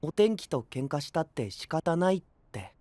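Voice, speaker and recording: A man's voice: fairly high pitch, very animated, normal speed, dark tone, dry recording. An actor playing an easygoing, friendly young man with a light, casual manner in an anime audio drama. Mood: wistful, resigned.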